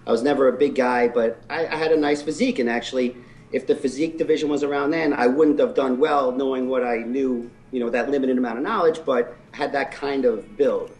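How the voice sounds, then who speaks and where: A man's voice: mid-range voice, steady tone, brisk, a little mellow. A man in his forties telling the story of his life in an interview, earnest and candid with some self-deprecating humor. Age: 40s. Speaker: physique competitor guest.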